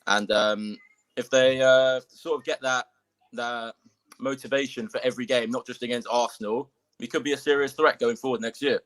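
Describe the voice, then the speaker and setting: A man calling in as a fan, croaky from screaming, talking hesitantly with lots of fillers. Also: medium pitch, steady tone, moderate pace, a bit bright, low-quality recording. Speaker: caller, football fan. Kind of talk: casual fan chat.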